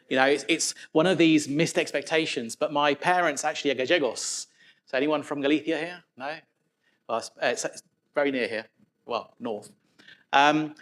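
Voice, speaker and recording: A man's voice: fairly high pitch, a bit bright. A man gives a conference talk on developer tools in a casual, conversational way, with plenty of fillers and restarts. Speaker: conference speaker.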